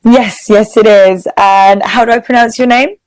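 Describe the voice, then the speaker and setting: A woman's voice: a little high, fairly even intonation, moderate pace, a little mellow, no echo, low-quality recording. A woman from England with a British accent, chatting casually as an online English tutor and using simple phrasing for a learner. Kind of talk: casual conversational lesson. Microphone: video call.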